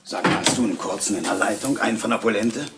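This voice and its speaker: A man's voice: lowish voice, fast talker, a bit bright, breathy edge. An actor playing a blunt, slangy henchman at a shady detective agency in a 1970s radio play. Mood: annoyed. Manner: brusque, slangy.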